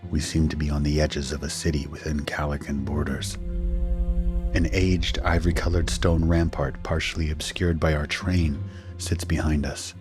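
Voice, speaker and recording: An actor playing a shaken husband and father who narrates his ordeal in a grave, measured, first-person style for an audio drama. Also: extremely low pitch, steady tone, over music. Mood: grave, tense. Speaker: narrator and main character.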